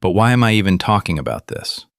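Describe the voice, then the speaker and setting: A man's voice: deep voice, big pitch swings, fast, gravelly, a bit bright, no room sound, studio quality, exaggerated voice. A man playing a wry conspiracy show host in an audio drama, talking in a conversational, conspiratorial way. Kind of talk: scripted audio drama monologue as talk-show broadcast.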